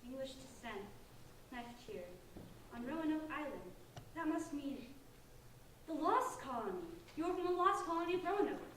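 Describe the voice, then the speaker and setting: A high, youthful female voice from an actress plays a protective teenage big sister in a stage drama. She is curious and easily startled. The sound is distant in a very echoey room. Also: big pitch swings, normal speed, long pauses, a bit bright. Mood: amazed. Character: sixteen-year-old girl, protective older sister, startled but curious. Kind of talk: staged play reading. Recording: echoey room, distant mic, faint noise.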